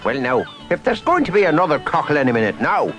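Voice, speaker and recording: A man's voice: fairly high pitch, sing-song, fast talker, fairly bright tone, soft background music, voice pushed down. A man doing a lilting Irish old fellow. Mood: friendly. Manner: lilting, folksy Irish phrases. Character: friendly older Irish man, kindly and secretive. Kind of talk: children's stop-motion cartoon.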